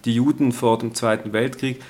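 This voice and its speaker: A man interviewing a guest, asking probing questions in a measured way. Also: medium pitch, level tone, darkish tone, slightly rough.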